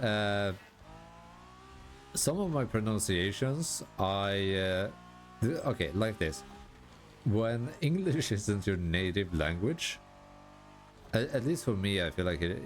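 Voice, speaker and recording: A Swedish man, a gaming YouTuber, talking casually in halting, self-correcting sentences and laughing at himself over his English pronunciation. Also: fairly low pitch. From Sweden. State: tired. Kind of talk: casual commentary while gaming.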